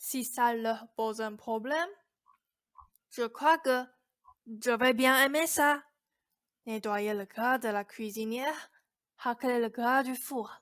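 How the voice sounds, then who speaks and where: A woman's voice: on the high side, takes it slowly, pauses often, fairly bright tone, dry recording. A woman playing a meek, self-punishing teenage girl in a radio drama, speaking hesitantly and earnestly.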